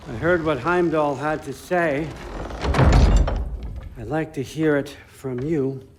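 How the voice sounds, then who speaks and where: A man's voice: on the high side, fairly even intonation, takes it slowly, very noisy. An older man acting as a folksy, paternal, manipulative god-king in a video game cutscene. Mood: calm, reproachful. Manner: casual, folksy, coaxing, commanding when crossed.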